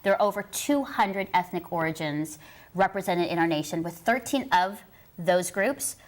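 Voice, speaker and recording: A woman's voice: on the low side, lively intonation, normal speed. A woman with a Canadian accent hosting a casual panel talk show in an effusive, enthusiastic way.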